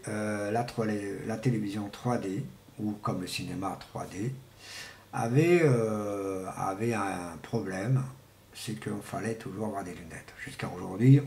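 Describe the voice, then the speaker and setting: Halting man rambling in an echoey room. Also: fairly low pitch, a little mellow. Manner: halting, hesitant, repeats words and restarts; often clears throat. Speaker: vlogger. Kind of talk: rambling informal monologue to viewers. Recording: echoey room with faint background noise.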